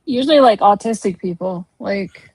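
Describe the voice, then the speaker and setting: A woman in casual chat, calmly explaining things. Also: mid-range voice, normal speed, breathy edge.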